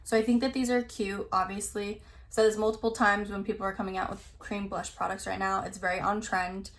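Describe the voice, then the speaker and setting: Young woman beauty vlogger, casual and rambling, slightly scratchy voice, middle pitch. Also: flat delivery, a little fast. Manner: rambling, full of "like", reads product blurbs aloud. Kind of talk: casual chat reviewing new makeup releases.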